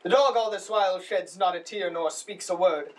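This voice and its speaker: Guy doing a woeful confused shakespeare clown, high voice, slow, recorded from way back. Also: close to an everyday voice. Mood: mock sorrowful. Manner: slow, deliberate, muddled. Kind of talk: comic Shakespeare stage monologue. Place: school showcase stage, recorded from the audience. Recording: distant stage recording.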